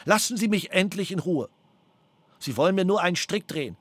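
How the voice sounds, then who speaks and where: A man's voice: high-pitched, animated, fairly quick, echoey room. A man being questioned by a prosecutor, agitated and defiant, repeating himself over and over. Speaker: suspect under interrogation. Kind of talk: police interrogation tape.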